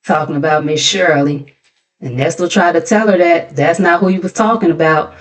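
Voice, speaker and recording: Sassy American woman, lowish voice. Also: normal speed. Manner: sassy, conversational, with mocking asides. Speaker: host of a gossip commentary channel. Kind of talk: casual gossip recap and commentary.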